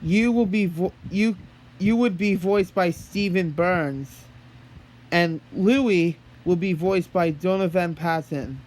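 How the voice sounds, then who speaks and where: A man talking in an earnest, halting way, as if holding a one-sided phone conversation. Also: sits high, darkish tone, low-quality recording. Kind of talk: one-sided pretend phone call, rambling monologue.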